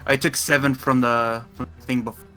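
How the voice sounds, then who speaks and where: A male player talks casually during a tabletop game. His voice is bright and forceful at a middling pitch. Soft music plays faintly behind him. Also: level tone, brisk. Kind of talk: casual chat. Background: faint background music.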